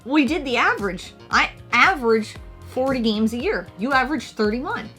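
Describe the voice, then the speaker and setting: A woman's voice: a little high, expressive, moderate pace, sharp tone, soft background music. A woman in her forties co-hosting a casual chat, laying out figures and averages. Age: 40s. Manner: chatty, lays out figures and averages. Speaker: co-host of a retro gaming channel.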